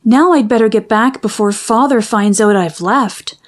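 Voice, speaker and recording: Woman as an eager, high-voiced young mermaid princess. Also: moderate pace, lightly acted. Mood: grateful.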